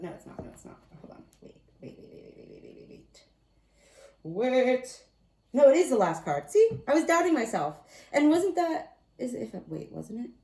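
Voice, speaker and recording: A woman with a slightly raspy voice giving a casual tarot card reading, chatty and often thinking aloud as she corrects herself. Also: mid-range voice, mellow, roomy sound.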